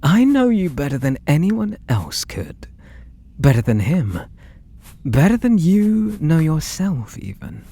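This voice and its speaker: A British man voicing an obsessed, possessive artist and menacingly tender lover in a breathy, theatrical ASMR roleplay, drifting into whispers. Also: a little high, big pitch swings, slow pace, dark tone, full character voice. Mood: possessive, contemptuous. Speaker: audio roleplay voice actor.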